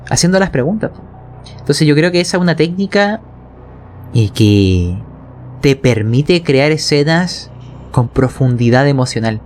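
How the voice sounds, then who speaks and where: A man's voice: on the high side, darkish tone, a little hiss or hum. A man with a Chilean accent explaining narration technique as a game master, colloquially, often checking in with his listeners. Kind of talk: explanatory conversation on tabletop role-playing narration.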